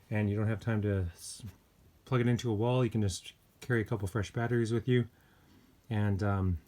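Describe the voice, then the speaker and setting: A man giving a casual hands-on gadget review, speaking informally and hesitantly with pauses mid-thought. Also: fairly low pitch, darkish tone. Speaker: product reviewer. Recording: clear background noise with clicks.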